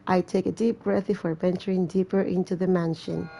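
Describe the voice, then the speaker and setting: A woman's voice: fairly low pitch, level tone, a little fast, vocal fry, over music. A woman doing casual gameplay commentary, reading a story aloud with chatty, joking asides. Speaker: gamer playing and reading aloud a horror visual novel.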